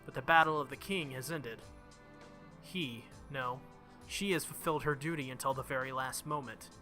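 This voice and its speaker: A man's voice: medium pitch, normal speed, bright, forceful tone. A man who makes gaming videos, reading visual novel text aloud and commenting casually on the game. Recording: music behind the voice. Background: game music.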